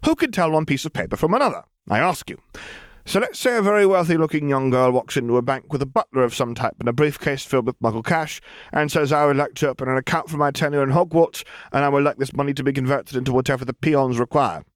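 A man's voice: mid-range voice, quick pace, no echo, clean studio sound, pitched above the performer's own voice, close to an everyday voice. A man voicing a brash, eccentric young girl crime boss in an audiobook, with breezy, glib, conspiratorial patter. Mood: sly, confident.

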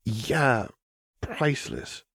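Man acting earnest young guy, audiobook fighter, raised pitch, hesitant, stammering, awkward. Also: wildly swooping pitch, very slow, creaky, a bit bright, slightly rough, dry recording, clean studio sound, heavily acted.

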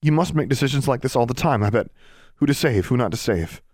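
A man's voice: low voice, moderate pace, darkish tone, no echo, clean studio sound. Man playing a desperate, defiant teenage girl. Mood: angry, challenging. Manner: insistent, challenging.

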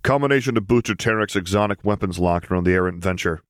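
Man voicing Solo, a gruff, cocky smuggler. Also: low voice, fast, no room sound, studio quality, close to an everyday voice. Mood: grumbling. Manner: sardonic, grumbling, needling.

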